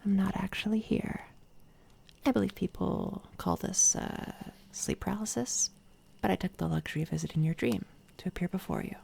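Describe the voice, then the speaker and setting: A low, very creaky female villain, acted by a woman for an ASMR roleplay. She speaks softly and close to the microphone, often dropping to a whisper. Her manner is smug, condescending and menacing, with faint ambience behind her. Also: animated, slight background noise. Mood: smug, mocking. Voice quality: soft, breathy, often slipping into whisper. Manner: taunting, condescending, unhurried. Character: smug, menacing villainess doppelganger from another timeline. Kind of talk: binaural soft-spoken ASMR roleplay. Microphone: close binaural microphone.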